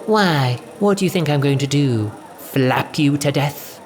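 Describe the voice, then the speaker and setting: A man's voice: fairly high pitch, animated, unhurried, a little mellow, a little hiss or hum, voice pushed up. A man voicing a posh, condescending female villain of stretched skin, with an overly sweet, witchy tone, in an audio story. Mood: breezy disdain. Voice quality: excessively sweet, witchy tones.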